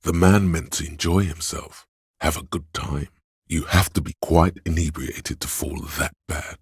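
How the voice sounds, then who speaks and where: Very deep, gravelly sleazy tempter. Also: male voice, steady tone, a little slow, dry recording, clean studio sound, full character voice. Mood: wry, knowing. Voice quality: gravelly, husky. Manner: coaxing, indulgent, theatrical. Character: inner voice of addiction, sleazy hedonistic male tempter. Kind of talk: video game character voice lines.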